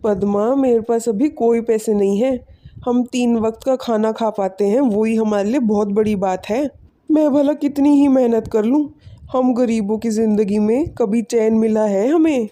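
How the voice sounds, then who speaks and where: A woman voicing a poor, weary, kind-hearted village farmer and father in an animated moral story. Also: on the high side, moderate pace, natural voice. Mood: weary, resigned.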